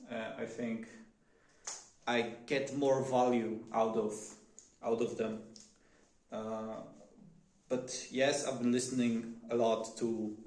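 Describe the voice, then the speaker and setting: Slow, hesitant man with a Polish accent. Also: mid-range voice, a little mellow, reverberant. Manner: hesitant, many fillers and restarts. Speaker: vlogger. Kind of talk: unscripted one-take monologue. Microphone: phone.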